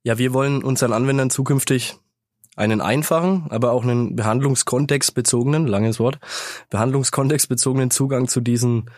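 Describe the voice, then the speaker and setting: A man with a slight cold talks through a business topic at an even, measured pace, often tagging his points with a quick "ne?". His voice sits at an ordinary middle pitch. The studio sound is close and dry. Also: no room sound. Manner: measured, explanatory, with "ne?" tags. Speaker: sales manager, interview guest. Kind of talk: business interview answers.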